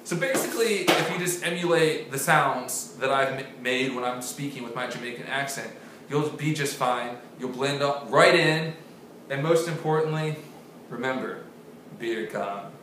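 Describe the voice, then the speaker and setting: A man hosting a mock-serious comedy accent lesson in a deadpan, mock-authoritative manner. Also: medium pitch, normal speed. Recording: very echoey room with faint hiss.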